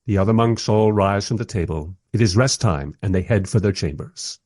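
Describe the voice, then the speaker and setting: A man playing a wry documentary maker, narrating a radio play in the first person with expressive, husky delivery. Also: lowish voice, moderate pace, no room sound, clean studio sound, close to an everyday voice. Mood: observant, wry. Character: wry, enthusiastic documentary maker narrating his historical encounters.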